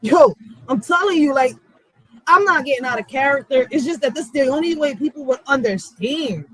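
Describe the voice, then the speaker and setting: A woman's voice: high-pitched, expressive, brisk, no echo, low-quality recording. A woman giving a heated, profane casual monologue, blunt and emphatic, using street slang and repeating phrases for stress. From Puerto Rico or Puerto Rican background. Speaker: channel host.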